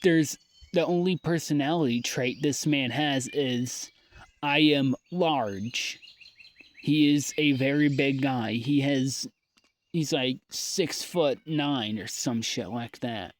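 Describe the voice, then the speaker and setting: A young man recording a personal audio diary, talking in a weary, halting way that trails off into sighs. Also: medium pitch, slight background noise.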